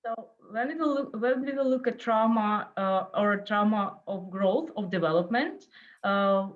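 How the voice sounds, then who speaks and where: A woman whose first language is Russian gives a lecture over a video call. She speaks haltingly, fills gaps with uh and um, and searches for her words. The call audio sounds dull and lo-fi. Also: mid-range voice. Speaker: guest lecturer. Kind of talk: online lecture in a second language.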